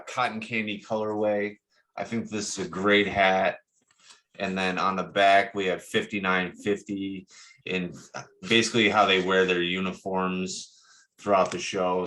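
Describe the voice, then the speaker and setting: A man with a Buffalo accent talks at a slow pace in a fairly low, bright and forceful voice. He has the casual delivery of a co-host doing show-and-tell. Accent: Buffalo, New York. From northeastern US. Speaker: co-host of a cap and card show.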